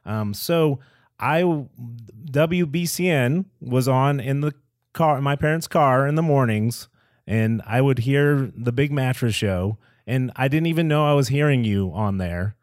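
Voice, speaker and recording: Eager podcast host from New England. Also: male voice, medium pitch, darkish tone, dry recording, clean studio sound. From Boston area, New England. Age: 40s. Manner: eager fan, quick to laugh. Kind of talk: casual interview chat.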